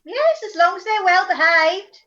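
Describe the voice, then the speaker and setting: Woman doing a friendly pub landlady type, radio comedy, bright and lively, bit lo-fi. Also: very high-pitched, normal speed, full character voice. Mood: brisk, friendly. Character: brisk, friendly barmaid at a village pub.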